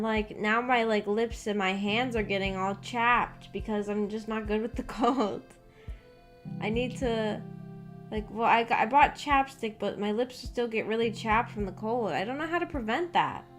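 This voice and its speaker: A woman streamer chatting casually with viewers, telling stories in a rambling, hesitant way and reading out comments as she goes. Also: a little high. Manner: rambling, hesitant storytelling with frequent "like". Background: background music.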